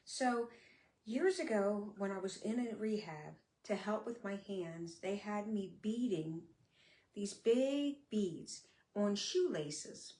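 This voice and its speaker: A woman's voice: mid-range voice, lively intonation, warm tone. Middle-aged woman chatting on a live stream, speech a bit slurred, lots of ums, echoey room. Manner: candid and chatty, with pauses and fillers. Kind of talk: casual live-stream talk.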